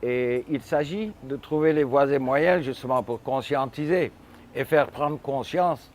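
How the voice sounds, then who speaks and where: An older man in his sixties speaks with a Senegalese accent at a middling pitch. He answers interview questions reflectively, choosing formal words with deliberate, articulate care. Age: 60s. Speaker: musician interviewee.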